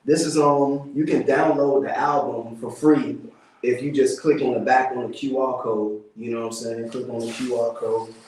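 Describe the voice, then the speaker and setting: A man's voice: medium pitch, steady tone, normal speed, a little mellow. A man with a DC area accent, a rapper guest talking casually, laid-back and often saying "you know what I'm saying". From Washington DC area. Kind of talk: casual interview chat. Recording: roomy, mic at a distance.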